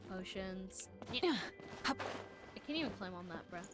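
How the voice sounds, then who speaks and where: A woman livestreaming a game with casual commentary, muttering asides and haltingly reading text aloud, correcting herself as she goes. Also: medium pitch, animated. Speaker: live game streamer. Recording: music playing behind the voice. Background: game music.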